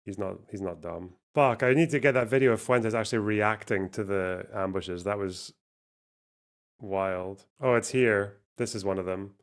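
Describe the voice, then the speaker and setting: Low-voiced profane streamer man. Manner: profane, exasperated, quick to laugh. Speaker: streamer host. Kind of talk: casual livestream reaction.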